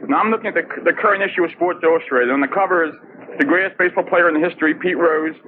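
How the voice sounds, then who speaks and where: A man's voice: fairly high pitch, racing. A man from a live audience bantering as he puts a question on a talk radio show. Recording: tinny, noisy old radio broadcast. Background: loud background noise.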